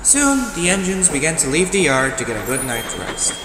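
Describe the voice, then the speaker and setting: A man narrating a dark children's story as scripted audio drama, with dramatic delivery and deliberate pauses. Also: fairly high pitch, big pitch swings, noisy background. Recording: echoey, reverberant hall sound. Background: loud music.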